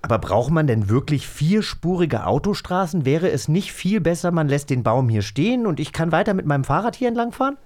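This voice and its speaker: A man's voice: fairly high pitch, big pitch swings, fairly quick, hardly any pauses, a little mellow. A man playing an inquisitive passing cyclist who meddles politely in a quarrel in an improvised children's audio drama.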